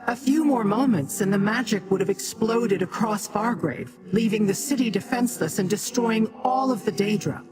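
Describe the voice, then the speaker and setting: A game actor voicing a haughty, imperious demonic being who talks down to mortals in formal, measured speech. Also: mid-range voice, natural voice, low-quality recording. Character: haughty, imperious Daedra ally, scornful of mortals. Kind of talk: scripted video game dialogue. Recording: music behind the dialogue.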